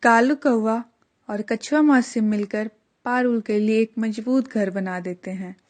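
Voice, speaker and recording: A woman's voice: a little high, unhurried, darkish tone. A woman reading a scripted children's story as the narrator.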